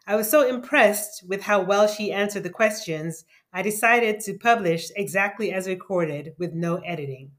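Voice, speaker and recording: A woman's voice: mid-range voice, normal speed, few pauses, a bit bright. Woman host asking questions patiently. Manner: rephrases questions, uses fillers. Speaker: podcast host and interviewer. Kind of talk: casual interview.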